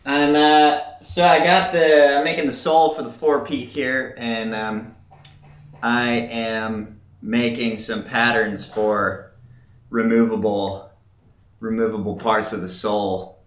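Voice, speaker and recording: A man's voice: medium pitch, little pitch movement, takes it slowly, roomy sound. Young guy joking around on camera, mid voice, inside a boat in the shop. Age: young adult. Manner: easygoing, jokey, loose. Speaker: boatbuilder. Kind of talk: casual joking chat on camera. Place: inside a wooden boat in a workshop.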